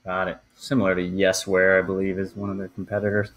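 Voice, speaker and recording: A man's voice: sits low, completely flat delivery, fast talker. A man in his thirties hosting a show, talking through things step by step in a conversational, explanatory way. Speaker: podcast host. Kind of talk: conversational walkthrough for a marketing show.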